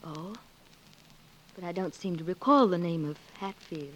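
A woman's voice: on the low side, normal speed, lightly acted. A woman playing a genteel, reserved officer's wife, polite and formal, in a 1940s radio western. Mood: polite, guarded. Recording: noisy, hissy 1940s radio recording.

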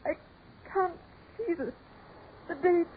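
Terrified woman, high-pitched, melodramatic pleading, 1940s radio drama, scratchy phone-like recording. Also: lively intonation, exaggerated voice. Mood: stunned dread. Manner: halting, breathless, melodramatic pleading. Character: frightened, fragile woman gripped by supernatural dread. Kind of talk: 1940s radio horror acting.

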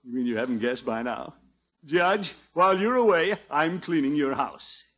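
An actor playing a pompous, scheming middle-aged small-town commissioner in 1950s radio comedy, blustering and self-important. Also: male voice, fairly high pitch, lively intonation, normal speed. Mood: self-satisfied. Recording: muffled vintage 1950s radio recording.

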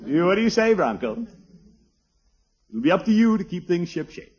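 An actor playing a fussy, blustering middle-aged uncle in a 1950s radio sitcom, pompous and husky, given to hemming and chuckling. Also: male voice, sits high, sing-song, moderate pace, darkish tone, exaggerated voice. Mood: genial, persuasive. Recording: dull, lo-fi old radio recording.

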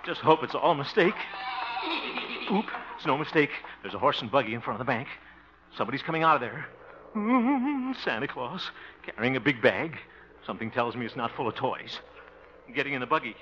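An actor playing a flustered, soft-hearted middle-aged American man in a 1950s radio comedy, blustery and given to muttering to himself. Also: medium pitch, expressive, fairly quick, close to an everyday voice. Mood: anxious. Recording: muffled, very noisy old radio recording.

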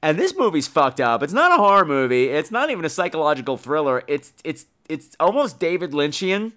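A man's voice: fairly high pitch, very animated, a little fast, bright, forceful tone. A man hosting a podcast solo in casual, rambling talk, with a campy, self-mocking, bawdy manner. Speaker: podcast host.

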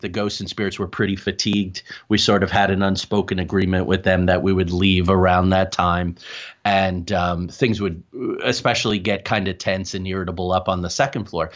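A man telling stories as an interview guest, halting and digressive as he talks. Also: low-pitched, fairly bright tone, highs cut off. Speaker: podcast guest, paranormal investigator. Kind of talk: conversational storytelling in an interview.

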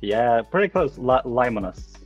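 A man's voice: mid-range voice, animated, normal speed, muffled recording, faint music behind. A man from Lithuania, a 3D artist, speaking modestly and casually as a guest, laughing often. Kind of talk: casual interview.

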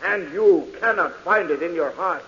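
Play a pleading defense attorney, pitched high; vintage radio tone. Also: male voice, moderate pace, a bit bright, big-room sound. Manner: oratorical, pleading. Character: impassioned defense lawyer, man. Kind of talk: 1940s radio acting. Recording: dull old radio recording.

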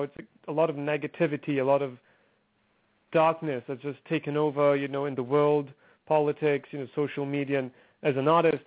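Man on a tinny phone line. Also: a little high. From Trinidad and Tobago. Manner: rambling, filler-heavy, upbeat. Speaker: guest musician. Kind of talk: casual phone interview.

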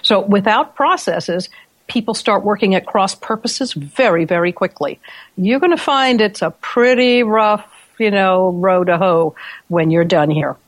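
A woman's voice: mid-range voice, a little slow, no echo. A woman hosting a business podcast who explains her points conversationally and keeps checking in with tag questions. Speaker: podcast host.

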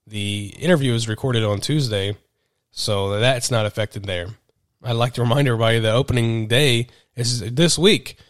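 A man's voice: mid-range voice, moderate pace, no room sound. A man hosting a podcast has a head cold, and it comes through in his voice. He talks casually through the wrap-up of the episode. The recording is dry and close, with no room sound. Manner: apologetic, chatty wrap-up. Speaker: podcast host.

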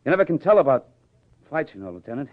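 Highish-voiced, halting young New boxer. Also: male voice, sing-song, narrow, tinny audio. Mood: uneasy, subdued. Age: young adult. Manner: halting, unpolished, slangy. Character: uneasy young prizefighter, earnest and none too polished. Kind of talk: 1950s radio drama acting. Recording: thin, noisy old radio recording.

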